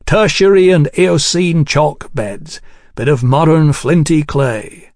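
A man playing a gruff, sceptical English field scientist in an audiobook, measured, wry and matter-of-fact. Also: fairly high pitch, darkish tone, no echo, clean studio sound, voice pushed up. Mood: plain, friendly.

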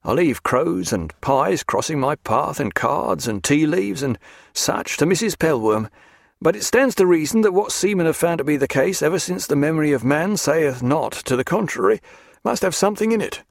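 A man's voice: fairly high pitch, sing-song, normal speed, vocal fry, no echo, clean studio sound, pitched above the performer's own voice, exaggerated voice. A man voicing a dogged, superstitious sailor in an audiobook, deferential yet gloomy, with emphatic old-fashioned nautical speech. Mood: dogged, foreboding.

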